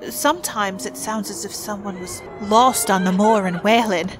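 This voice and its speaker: An actress playing a kindly young housemaid, telling things in a homely, gossipy way. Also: female voice, medium pitch, normal speed, music in the background, lightly acted. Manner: gossipy, homely dialect storytelling.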